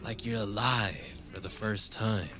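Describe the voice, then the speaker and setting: A man's voice: fairly low pitch, steady tone, unhurried, background noise, natural voice. A man playing a tender, wry young lover in an intimate cyberpunk romance audio drama, teasing and a little hesitant. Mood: earnest. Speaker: audio roleplay voice actor.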